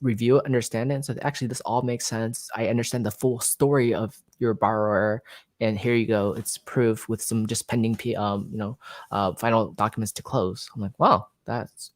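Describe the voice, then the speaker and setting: A man's voice: lowish voice, steady tone, moderate pace, no room sound. A man hosting a casual talk about mortgages, talking in long, loose, rambling sentences. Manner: conversational, rambling with restarts. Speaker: podcast host. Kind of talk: casual conversation.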